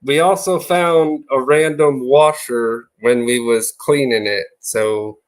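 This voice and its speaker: A man hosting a casual livestream, reading viewer comments aloud in a relaxed, drawling way. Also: mid-range voice, slow pace, rough recording. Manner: laid-back, drawling, reads chat aloud. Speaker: livestream host.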